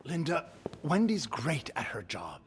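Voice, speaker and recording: A man's voice: a little high, wildly swooping pitch, a little slow. A man playing an exasperated, defensive hotel manager in a dramatic video game scene. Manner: impatient, exasperated. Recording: music behind the voice.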